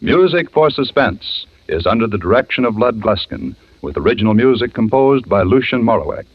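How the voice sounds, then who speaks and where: A man's voice: lowish voice, very animated, fairly quick, dry recording. A man reading credits as a 1940s radio announcer. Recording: dry, dull vintage radio with faint music. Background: faint music.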